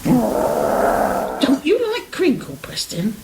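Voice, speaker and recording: A woman's voice: high-pitched, huge pitch swings, drawn-out words, heavily acted. A woman playing a cheery, down-to-earth English big sister in a period radio play, breezy and teasing. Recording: faint background noise.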